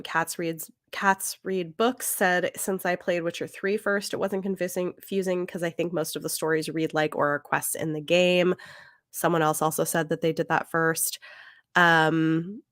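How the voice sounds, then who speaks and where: Female podcast co-host, slightly low voice, dry sound; tentative, conversational, informal book chat. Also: fairly bright tone, dry recording. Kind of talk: casual book discussion.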